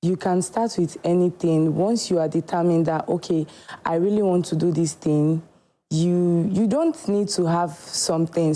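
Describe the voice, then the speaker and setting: A woman from Nigeria, a shoemaker guest telling her story in a TV studio interview, earnest and unhurried. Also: on the low side, dark tone, poor audio.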